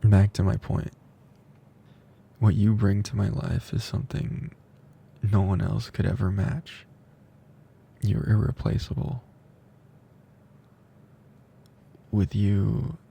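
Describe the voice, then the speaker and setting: A tender, teasing male best friend played by a man in an intimate ASMR audio roleplay. He speaks slowly and softly, in a mellow, breathy voice that slips toward a whisper. A faint low rumble sits behind him. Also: level tone, exaggerated voice. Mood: devoted, heartfelt. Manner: soft, breathy, slow, drifting into whispers.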